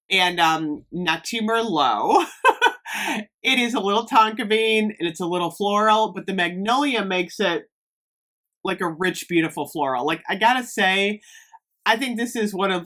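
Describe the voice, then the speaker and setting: A woman from Northeast Ohio vlogging casually about fragrances, slightly hoarse, chatty with lots of fillers. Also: fairly low pitch, a bit bright. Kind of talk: casual chat to camera.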